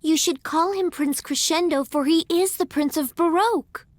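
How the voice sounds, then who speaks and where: A high-voiced earnest princess. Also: female voice, dry recording. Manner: earnest, formal and measured. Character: gentle, principled young princess. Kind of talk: video game cutscene voice acting.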